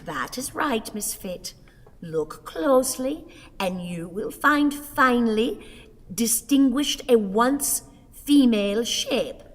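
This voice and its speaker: An actress playing a self-pitying, sorrowful old woman in a radio play, with halting, declamatory, melodramatic delivery. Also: fairly high pitch, expressive, long reverb tail. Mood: indignant, sarcastic. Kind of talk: theatrical radio play acting.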